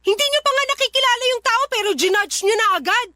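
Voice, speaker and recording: A woman's voice: very high-pitched, fast, pushed and bright, dry recording, studio quality. A woman with a Filipino accent playing an earnest, defiant young adult daughter in love in a radio drama. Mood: pleading defiance. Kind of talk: Tagalog radio drama acting.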